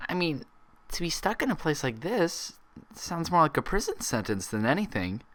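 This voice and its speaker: A man voicing an earnest, inquisitive female unicorn with a precise, measured, questioning manner, in a story reading. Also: on the high side, sing-song, a bit bright, pitched above the performer's own voice. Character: inquisitive, persistent young unicorn mare, sharp and earnest.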